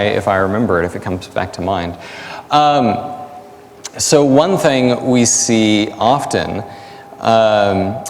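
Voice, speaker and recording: A man's voice: mid-range voice, slight background noise. Male scholar explaining in an echoey hall. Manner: thinking aloud with everyday examples. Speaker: academic guest, biblical language scholar and teacher. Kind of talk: explanatory interview answers. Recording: echoey hall, long reverb.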